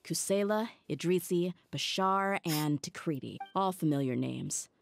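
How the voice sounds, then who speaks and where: An actress playing a young female mercenary bodyguard in a video game, frank and level-headed in a measured way. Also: lowish voice, expressive, gravelly. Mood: focused. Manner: frank, measured, thinking aloud. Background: background music.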